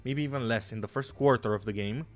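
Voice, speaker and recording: A man's voice: medium pitch, fast, soft background music. A young man from Romania, chatting casually as a gaming streamer, rambling, swearing and saying "bro" a lot.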